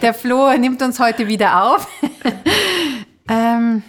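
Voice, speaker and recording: A woman's voice: on the high side, lively intonation, drawn-out words. A woman hosting a conversational podcast interview in a chatty way, reacting with exclamations and putting questions to a guest. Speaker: podcast host.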